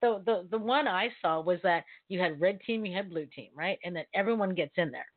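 A chatty woman co-hosting a casual online talk show. Her voice sits a little low and comes through dry and thin, like a phone line. She laughs often and stumbles through restarts. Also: a little fast, dry recording. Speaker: co-host.

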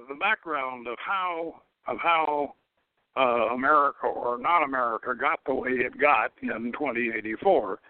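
Male host, mid-pitched, phone-band sound. Rambling, halting, off-the-cuff radio delivery. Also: dry recording. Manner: halting, rambling, repeats words when speaking off the cuff. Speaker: show host and author. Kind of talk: informal radio talk; dramatic reading of own novel. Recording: narrow phone-like radio line.

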